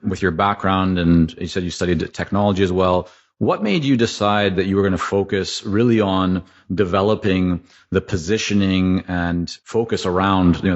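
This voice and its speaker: A man hosting a podcast, asking long, winding questions of his guest. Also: low voice. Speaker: podcast host. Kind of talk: interview questions. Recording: dull, muffled sound.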